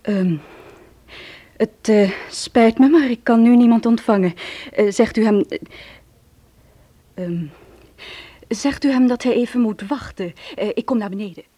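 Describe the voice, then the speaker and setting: Husky, slow, guarded married woman. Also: on the high side, mellow. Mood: guarded, hesitant. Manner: hesitant, deliberate. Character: evasive, guarded married woman, coolly dramatic. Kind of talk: radio drama acting.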